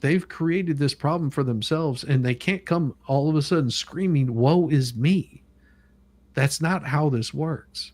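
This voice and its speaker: A man hosting a casual livestream, talking in a halting, repetitive and emphatic way. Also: fairly high pitch, a little mellow. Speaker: livestream host with own channel.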